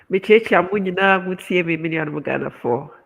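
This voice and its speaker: A woman's voice: on the low side, fast, vocal fry, husky. A woman with a Ghanaian accent introducing herself as a panelist on a livestream. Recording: thin phone-like call audio.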